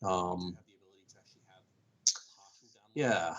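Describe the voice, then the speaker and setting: Husky-voiced man thinking aloud. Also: low voice, extremely slow, fairly bright tone, dull audio. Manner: thinking aloud, frequent ums and restarts. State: losing his voice, clearing throat. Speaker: developer answering community questions. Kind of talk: casual technical Q&A.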